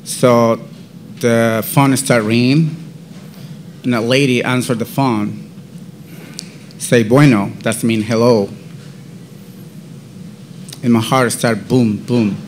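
Slow, halting man with a Central American accent. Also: mid-range voice, fairly even intonation, slight background noise. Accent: Central American Spanish. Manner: halting, non-native English; slow, deliberate. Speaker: podcast guest. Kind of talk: personal faith testimony storytelling.

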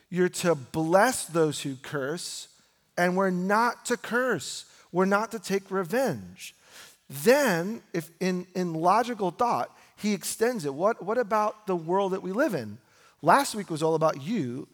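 An American man preaching a conversational sermon as a pastor, informal in manner, posing rhetorical questions and repeating phrases for emphasis. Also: high-pitched. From United States. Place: large reverberant room, preaching live. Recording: reverberant hall.

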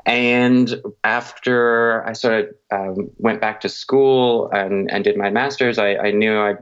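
A man from Oklahoma with a very deep voice, chatting loosely as a podcast guest and filling his pauses with ums and uhs. The sound is dull and lo-fi, like a remote call. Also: fairly even intonation, smooth. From Oklahoma, USA. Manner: chatty, laughs easily, many ums and uhs. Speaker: podcast guest, quilt maker. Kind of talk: casual interview chat. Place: home in Sydney, remote call.

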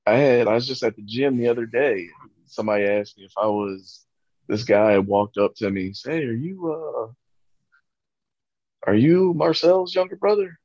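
A man in casual chat, halting and full of fillers. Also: mid-range voice, darkish tone, no room sound. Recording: dull, muffled lo-fi audio.

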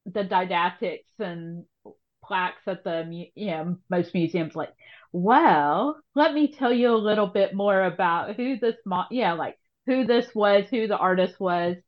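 A woman's voice: medium pitch, a little slow, muffled recording. A woman hosting a casual conversational interview, thinking aloud in a wordy, hesitant way. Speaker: podcast host.

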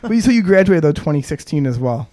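Fast-talking joking male podcast host. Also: fairly high pitch, very animated, dark tone. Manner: joking, teasing. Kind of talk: casual podcast interview.

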